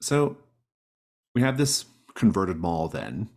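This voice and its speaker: A man's voice: sits low, sing-song, takes it slowly, darkish tone. A man working as game master, narrating and reading rules conversationally while guiding players with encouraging questions. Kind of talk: narrating a tabletop roleplaying game, part read from rules and part conversational.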